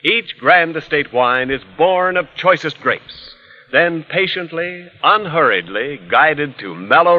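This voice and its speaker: A man's voice: a little high, sharp tone. An American man reading a scripted 1940s radio commercial as a polished, florid announcer. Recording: dull old 1940s radio recording.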